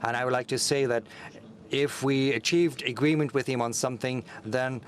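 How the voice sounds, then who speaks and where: A man giving simultaneous interpretation at a press meeting, steady and formal, with frequent filler sounds. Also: medium pitch, moderate pace, slight background noise.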